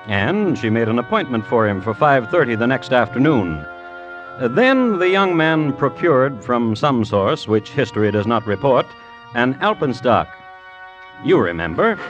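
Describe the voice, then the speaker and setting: An actor narrating an old-time radio crime drama as a wry, formal chronicler, measured and dry. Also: male voice, mid-range voice. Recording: dull vintage radio recording, music behind.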